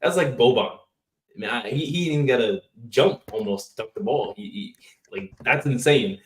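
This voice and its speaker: A man co-hosting a casual basketball podcast, excitable and leading the talk. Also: mid-range voice, moderate pace, roomy sound, distant mic. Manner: excitable, hype, leading the discussion. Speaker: podcast co-host. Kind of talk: casual sports podcast chat.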